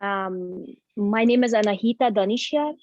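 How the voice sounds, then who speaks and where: A woman with an Afghan accent and a mid-pitched voice speaks as a guest about her own life. Her phrasing is simple and hesitant. The audio is dry and a little muffled, like an online call. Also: normal speed, darkish tone, no echo. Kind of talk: personal account in an interview. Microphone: video call.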